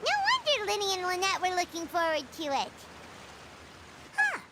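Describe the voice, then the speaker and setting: A woman voicing a tiny, excitable, childlike fairy companion with a squeaky voice in a video game. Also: moderate pace, exaggerated voice. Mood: excited, curious. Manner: exclamatory, refers to self in third person. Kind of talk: video game character acting. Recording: noisy game sound behind voice.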